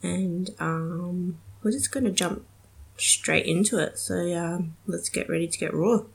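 A woman's voice: lowish voice, unhurried, vocal fry, noisy background. A young woman hosting a casual solo podcast, chatty and giggly with many ums, sounding slightly nasal from a cold. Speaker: podcast host.

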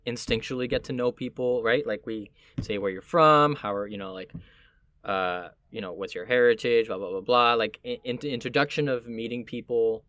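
A man from Miami with a Puerto Rican family, talking casually and reflectively, often halting as he searches for words. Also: medium pitch, a bit bright, dry recording.

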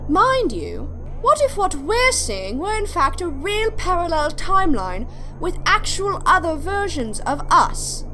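Teasing young female sidekick, played by a woman, high pitch, animated, pert, questioning, audio drama, slight background noise. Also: a little slow, heavily acted. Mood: curious. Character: inquisitive, teasing young woman, the scientist's companion.

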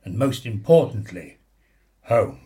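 An actor playing a troubled, compassionate witness of war in a radio play, grave and halting. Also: male voice, lowish voice, sing-song, mellow. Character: troubled, compassionate witness recalling damaged soldiers. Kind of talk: dramatic radio play monologue.

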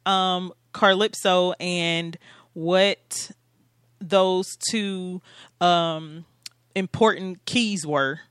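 A woman hosts a show in a smooth, clear voice. She speaks casually and haltingly, feeling her way through her sentences. The sound is dry and close, with little room around it. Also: lowish voice, steady tone, no room sound. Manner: halting, hesitant when asking questions. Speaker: podcast and radio show host. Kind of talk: casual conversational interview.

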